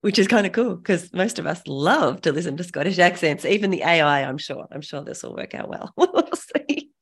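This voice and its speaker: A woman's voice: lowish voice, very animated, normal speed, slightly rough. A woman hosting a podcast, chatting casually in an upbeat, effusive and giggly way. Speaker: podcast host. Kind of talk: upbeat casual interview chat.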